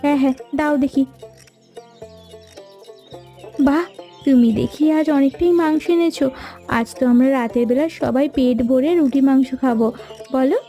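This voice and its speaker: A woman's voice: sits high, steady tone, a little fast, warm tone, soft background music. A woman voicing an anxious, caring wife from a poor village household in a cartoon folk tale. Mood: pleased.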